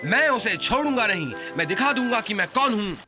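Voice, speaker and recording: An actor playing an excitable, theatrical adventurer in a Hindi cartoon dub. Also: male voice, high-pitched, fairly quick, music in the background. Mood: baffled, vengeful.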